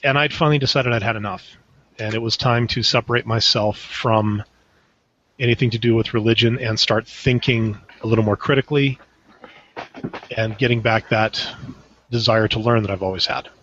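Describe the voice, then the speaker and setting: A man from Canada joins a roundtable on a video call, speaking in a measured, thoughtful way. His voice sits mid-range. The sound is muffled and lo-fi. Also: medium pitch, fairly even intonation, fairly bright tone, a little hiss or hum. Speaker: panel guest. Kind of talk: casual roundtable talk. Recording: dull lo-fi sound.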